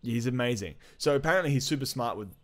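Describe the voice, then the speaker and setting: A man hosting a podcast, chatting casually and telling stories in an animated, slangy, sweary way. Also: medium pitch, fast. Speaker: podcast host. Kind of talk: casual chat about comics.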